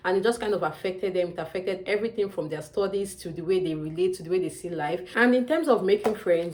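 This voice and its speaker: A young woman with a Nigerian accent delivers chatty advice straight to camera, with an emphatic streak and frequent calls to her viewers. Her voice sits on the low side and she talks at a brisk clip. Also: a little mellow. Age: young adult. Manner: chatty, emphatic, often calls out "you guys". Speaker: student vlogger. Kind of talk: casual advice vlog to camera.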